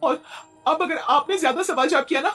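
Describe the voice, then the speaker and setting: A woman voicing an indignant, sharp-tongued young wife who argues in a Hindi moral-story cartoon. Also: sits high, a little fast, soft background music. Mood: defiant. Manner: argumentative, aggrieved.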